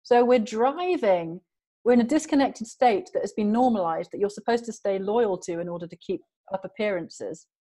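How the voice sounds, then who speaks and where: A woman's voice: mid-range voice, moderate pace, mellow. A woman guest in an interview, talking earnestly and emphatically in long flowing sentences. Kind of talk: passionate conversational interview.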